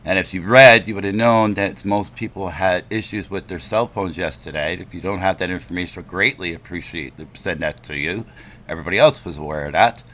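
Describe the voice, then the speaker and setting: A man's voice: lowish voice, fairly bright tone. A man making a complaint on a phone call, speaking in an insistent, confrontational, lecturing manner.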